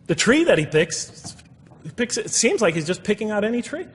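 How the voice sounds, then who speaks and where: A man's voice: high-pitched, very animated, normal speed, slight background noise. A man giving a public religious lecture in an explanatory, reflective manner, reciting verses and commenting on them. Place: lecture hall before an audience. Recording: echoey hall with long reverb.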